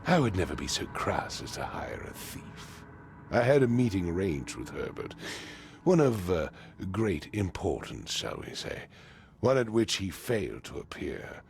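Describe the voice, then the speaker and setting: A man playing a haughty, commanding patrician in a video game, with formal, pompous and deliberate phrasing. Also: deep voice, big pitch swings, vocal fry, slight background noise, full character voice. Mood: guarded, haughty. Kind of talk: video game cutscene acting.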